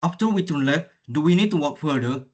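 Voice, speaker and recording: A man's voice: on the high side, expressive, a little fast, a little mellow, dull audio. A young man playing a questioning Taiwanese student in a classroom role-play. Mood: checking. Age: young adult.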